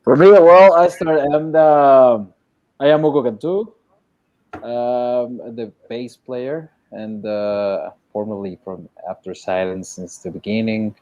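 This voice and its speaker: A man from Mexico with a Mexican accent, a bass player who rambles, hesitates and jokes at his own expense in a casual interview. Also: slow pace, darkish tone, dull audio. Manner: rambling, self-deprecating, hesitant with fillers.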